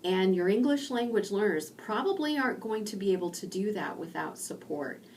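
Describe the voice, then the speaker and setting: A middle-aged woman from rural Indiana giving a recorded online lecture from her home study, explaining slowly with pauses. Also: medium pitch, expressive, a little mellow, distant mic, slight background noise. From rural Indiana, USA. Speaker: university lecturer.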